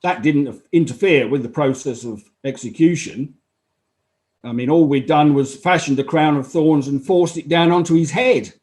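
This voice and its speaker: A man's voice: on the high side, moderate pace, a little mellow, close to an everyday voice. A man acting as a matter-of-fact Roman army officer who gives unemotional, explanatory testimony in a dramatized inquiry. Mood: explanatory, detached.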